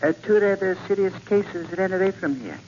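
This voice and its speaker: An actor playing an apologetic, fussy sanitarium doctor, polite and hesitant, in 1940s radio style. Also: male voice, high-pitched, lively intonation, normal speed, a little mellow. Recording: muffled, hissy 1940s radio recording.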